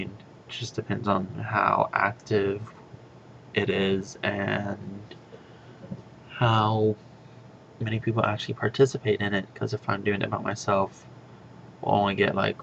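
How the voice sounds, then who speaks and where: A man hosting a casual guild podcast announcement, speaking in a monotonous, rambling way with many fillers. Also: vocal fry. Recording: dull lo-fi with faint rumble.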